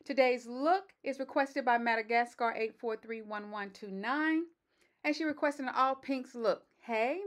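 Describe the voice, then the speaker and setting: A woman with a slightly raspy voice doing a relaxed step-by-step voice-over for her makeup tutorial. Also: high voice. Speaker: beauty vlogger. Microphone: voice-over mic.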